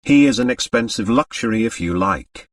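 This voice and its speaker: A man's voice: on the low side, expressive, normal speed, no room sound, distant mic, close to an everyday voice. Man voicing a blunt, cynical young society woman. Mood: dry. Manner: dry, outspoken, impatient. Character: blunt, cynical grown daughter of society mother.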